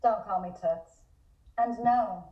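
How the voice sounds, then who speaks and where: A woman's voice: mid-range voice, slow pace, natural voice. A woman voicing a curt, sardonic woman in an amateur table read. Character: curt, sardonic woman who rejects pet names. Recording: echoey, phone-like thin microphone.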